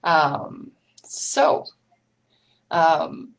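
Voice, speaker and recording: A woman's voice: deep voice, very animated, very slow, vocal fry, rough recording. A woman from Sweden with a Swedish accent, hosting a live online meetup in a chatty way, with many ums and small laughs. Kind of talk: casual live-stream chat.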